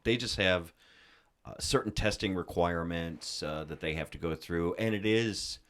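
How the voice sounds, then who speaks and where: A man co-hosting a casual live talk show, with a deep voice and a joking, self-mocking way of bantering. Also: normal speed, a bit bright. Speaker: co-host. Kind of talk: casual chat.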